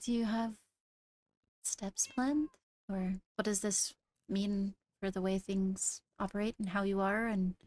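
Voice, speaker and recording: A woman's voice: mid-range voice, fairly even intonation, a little mellow, close to an everyday voice. A woman playing a diplomatic court leader in improvised game roleplay, speaking formally and choosing words with care. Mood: supportive, measured. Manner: deliberate, formal, careful phrasing.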